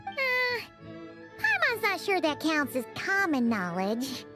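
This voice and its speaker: Game fairy sidekick: very high, childlike, highly animated, cheeky. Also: female voice, takes it slowly, loud music behind the voice, full character voice. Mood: curious. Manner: perky, exclamatory, refers to self by name. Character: small, childlike, cheeky floating fairy companion. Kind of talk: animated fantasy game voice acting.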